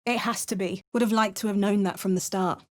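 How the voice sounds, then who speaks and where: An actress playing an adventurous, earnest young fantasy hero in a video game, with a measured, dramatic delivery. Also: female voice, medium pitch, fairly even intonation, no echo, studio quality, natural voice. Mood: grim, scornful. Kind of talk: video game character voice lines.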